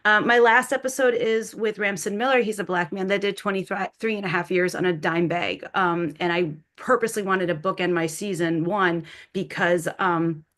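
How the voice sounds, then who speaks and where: A woman's voice: fairly low pitch, fairly even intonation, normal speed, few pauses, fairly bright tone. A woman talking informally as a podcast panel guest, in an effusive, rambling way. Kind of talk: informal unscripted panel talk.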